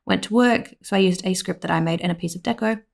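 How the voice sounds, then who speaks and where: A woman with an Australian accent, slightly hoarse, giving a rambling voiceover at home full of "like" and "um". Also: fairly low pitch, fast talker, darkish tone. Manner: rambling, with many "like" and "um" fillers. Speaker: planner vlogger. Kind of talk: casual voiceover narration.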